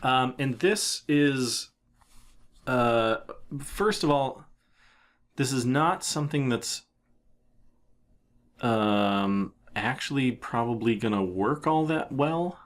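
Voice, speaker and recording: A man presenting a software tutorial in a casual, unscripted way, thinking aloud as he explains what he is doing. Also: mid-range voice, lively intonation, takes it slowly, long pauses. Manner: informal, thinking aloud with trailing phrases.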